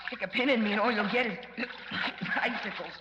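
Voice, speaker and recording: A man's voice: high-pitched, a little fast, natural voice. An actor playing a plucky, wisecracking teenage boy sidekick in a 1940s radio serial, with slangy, wry delivery. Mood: cold, worried. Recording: echoey, muffled, noisy old radio recording.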